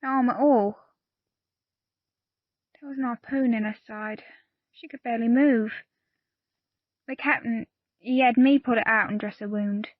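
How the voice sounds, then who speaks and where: A loyal pirate woman with a fairly high voice, recorded close and dry. She speaks plainly and earnestly in salty old seafaring phrases, as in an audio drama. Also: steady tone, unhurried, long pauses, no room sound, phone-quality sound. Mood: grave, confiding. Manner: earnest storytelling in archaic pirate dialect. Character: loyal, plain-spoken pirate crewwoman confiding a grave secret. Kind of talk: audio play acting.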